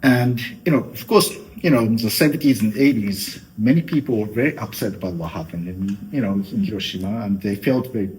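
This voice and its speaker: A middle-aged Japanese man with a low voice, answering interview questions in a considered way and often pausing on "you know" as he works through his thoughts. His English carries a clear Japanese accent. Faint noise sits behind the recording. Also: a little mellow, rough recording. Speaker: guest.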